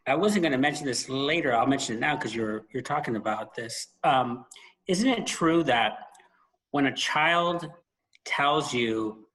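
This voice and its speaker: Male host, mid-range voice, conversational but emphatic, using deliberate dramatic pauses. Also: medium pitch, a bit bright, low-quality recording. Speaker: interview host. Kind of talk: conversational interview.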